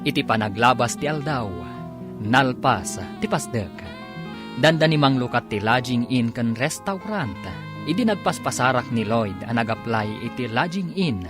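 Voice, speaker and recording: A man delivers scripted, dramatic narration for a radio serial. His mid-pitched voice swings expressively over a clear bed of guitar music. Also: lively intonation, moderate pace.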